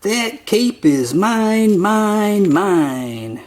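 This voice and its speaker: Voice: medium pitch, very animated. Reader putting on a whiny, grumpy little toad. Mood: whiny, possessive. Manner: whining, drawn-out complaints. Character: whiny grumpy young toad, possessive playmate.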